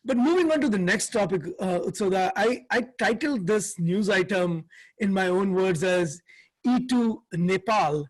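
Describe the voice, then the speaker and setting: A man's voice: sits high, expressive, unhurried, rough recording. A man co-hosting a talk show discussion, speaking earnestly with many fillers and restarts. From India. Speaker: co-host.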